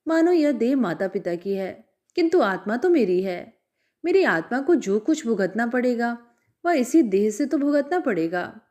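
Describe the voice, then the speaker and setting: A woman's voice: fairly high pitch, sing-song, unhurried, a little mellow. A woman reading as a defiant young college girl. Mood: resolute. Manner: bold, scornful retorts.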